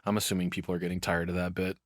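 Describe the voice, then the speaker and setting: A man's voice: sits low, level tone, rapid-fire, noisy background. A man giving casual reaction commentary as a streamer, rambling along and swearing freely.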